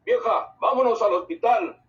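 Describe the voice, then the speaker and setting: A man voicing an urgent, commanding father in a storybook, forceful and firm. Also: very high voice, brisk, voice pushed up. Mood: urgent yelling. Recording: distant mic, dull lo-fi sound.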